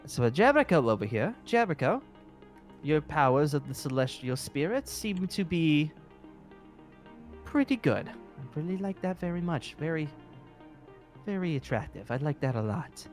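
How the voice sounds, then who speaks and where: Someone playing a courteous scheming guild leader, lively pitch. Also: lightly acted. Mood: smug, appraising. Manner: hesitant, drawn-out, repeating praise. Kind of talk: improvised Minecraft fantasy roleplay. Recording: music underneath.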